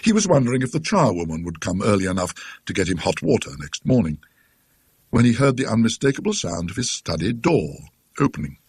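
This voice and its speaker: A British man with a husky voice narrating a classic ghost story in a scholarly, dryly witty, deliberate manner. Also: lowish voice, big pitch swings, a little mellow, dry recording, clean studio sound.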